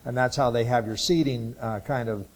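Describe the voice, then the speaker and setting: A man in his sixties from Ohio, a Bible college missions teacher, giving an informal testimony talk to a church group in a halting, rambling way with many filler sounds. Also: mid-range voice, steady tone. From Ohio, USA. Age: 60s.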